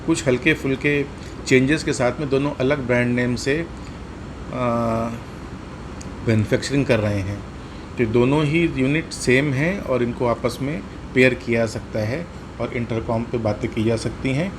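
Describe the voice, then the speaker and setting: Indian guy walking thru a gadget demo step by step, mid pitched voice, noisy hum behind him. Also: moderate pace. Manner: explanatory, step-by-step, repeats points. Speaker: host of a motorcycle gear tutorial. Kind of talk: hands-on product demonstration.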